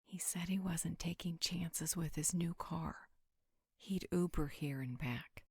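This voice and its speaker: A woman's voice: lowish voice, slow, breathy edge, no echo, clean studio sound, heavily acted. A woman playing a teasing, playful mother figure in a supernatural roleplay audio drama. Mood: wry.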